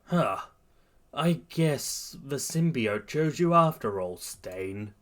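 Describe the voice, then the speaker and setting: Guy doing a smug annoyed villain boss, mid pitch, deliberate, story narration. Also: animated. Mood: musing. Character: irritated, superior adult male villain mastermind.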